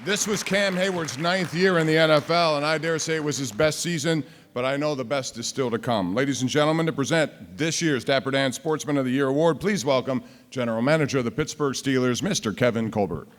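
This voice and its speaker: A man's voice: medium pitch, brisk, hardly any pauses. An American man making a formal introduction as master of ceremonies at an awards event. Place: large banquet hall, speaking to an audience. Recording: hall reverb with faint background noise.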